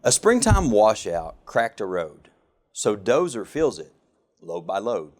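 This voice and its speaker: A man reads a children's story aloud in a fairly low voice. His pace is very slow, and his pitch swings widely through each rhyming line, giving it an expressive, sing-song lilt. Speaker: government official reading a children's picture book.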